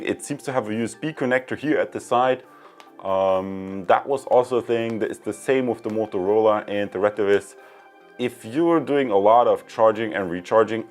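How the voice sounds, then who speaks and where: A man giving a casual, unscripted product review in a rambling, conversational way. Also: on the low side.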